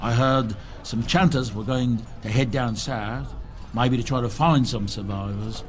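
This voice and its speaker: A man's voice: mid-range voice, steady tone, measured pace, natural voice. A man playing a world-weary tavern barkeep in a fantasy video game, casual and matter-of-fact as he passes on news. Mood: grim, resigned.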